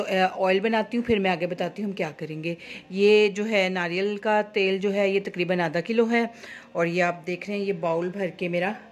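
A woman who runs a beauty salon, chatting step by step through a homemade hair oil recipe in her kitchen, with small fillers as she works. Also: medium pitch. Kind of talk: home cooking-style hair oil tutorial. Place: home kitchen at stove.

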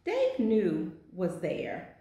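A woman in her forties reading a children's picture book aloud with deliberate, expressive phrasing in an office. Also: on the low side, a little hiss or hum. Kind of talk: children's storybook read-aloud. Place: small indoor office. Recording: very echoey, roomy sound.

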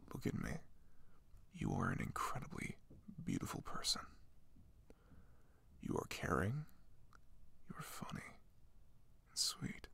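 A shy, smitten young guy played by a man, gentle and stammering in an intimate ASMR roleplay. His low voice takes its time and often settles into heavy creak. It sounds close to the mic. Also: exaggerated voice. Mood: earnest, reassuring. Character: shy, sweet young male best friend, smitten and flustered. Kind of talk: ASMR roleplay, soft intimate comforting talk. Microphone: close microphone.

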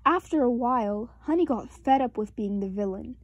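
A woman reading aloud as a children's storybook narrator, expressive and with deliberate emphasis. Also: fairly high pitch, dull audio, noisy background. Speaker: storybook reader.

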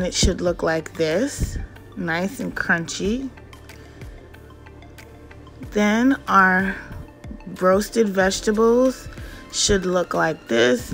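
Slow, homey woman narrating a recipe. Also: medium pitch, pushed and bright. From Jamaican parents. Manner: unhurried, instructional, homely. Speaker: cooking vlogger. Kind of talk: step-by-step cooking narration. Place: home kitchen. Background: background music.

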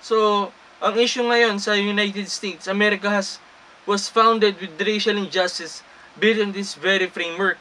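A young Filipino man speaks earnestly to his camera in a small plain room, his voice high and bright. He trips over longer English words and starts them again, and a faint room hum sits behind him. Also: fairly even intonation, normal speed, low-quality recording. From Philippines. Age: young adult. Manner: earnest, with many restarts when reading English passages. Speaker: vlogger. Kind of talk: casual talk to camera, mixing Tagalog and English.

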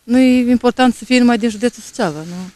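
A woman's voice: on the high side, a little mellow, no echo. A woman in her forties giving brief, tentative answers in a casual street interview on a handheld microphone. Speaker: passer-by interviewee. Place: outdoors on a snowy street. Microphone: reporter's handheld microphone.

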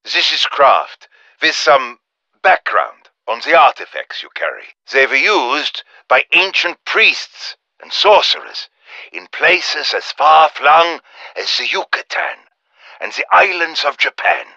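An earnest scholar of the occult for a video game. His voice swings widely in pitch and has a forceful, bright edge. He speaks in formal, precise phrases, recorded close and dry. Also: big pitch swings, takes it slowly, vocal fry, no echo, lo-fi sound, heavily acted. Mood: scholarly, ominous. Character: earnest, erudite German professor of demonology, embattled ally. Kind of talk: dramatic game voice acting, radio briefing monologue.

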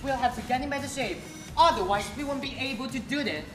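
A woman's voice: high voice, quick pace, music in the background. Teen girl projecting on stage. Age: teens. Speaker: student performer. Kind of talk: school stage play. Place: school auditorium stage. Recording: echoey hall stage recording.

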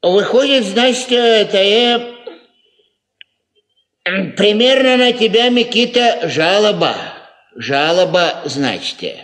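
An actor playing a pious, simple old peasant father who talks haltingly and repeats filler words, in a Soviet radio play. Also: high-pitched, lively intonation, a bit bright, exaggerated voice. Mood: grave, halting.

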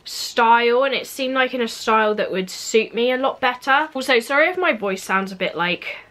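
A woman's voice: a little high, expressive, normal speed, a bit bright. A young woman in her twenties, just over a cold, chatting casually through a clothing haul review in her bedroom, with many "like" fillers. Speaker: fashion vlogger. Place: bedroom at home, indoors.

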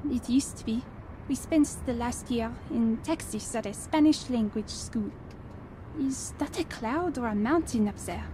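An actress plays a thoughtful French wife and mother with a fairly high voice. She is sincere and full of questions, in radio drama style. A light ambience is heard behind her. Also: unhurried, dark tone, a little hiss or hum, close to an everyday voice, low-quality recording. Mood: gently questioning. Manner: sincere, inquisitive. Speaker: actor in audio drama.

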